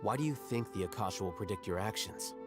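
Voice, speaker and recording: An actor playing a calm young male scholar in a video game, reasoning in a measured, articulate, lecturing way. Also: on the low side, over music, lightly acted. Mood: analytical, probing. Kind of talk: scripted video game dialogue.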